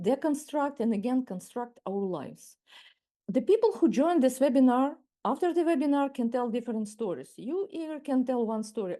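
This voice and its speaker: A Ukrainian-accented woman of around sixty leads a webinar masterclass over a video call. Her voice sits a little high but sounds mellow. She speaks in a measured way and often circles back to restart her phrases. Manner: measured, with frequent restarts and repeated words. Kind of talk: webinar talk.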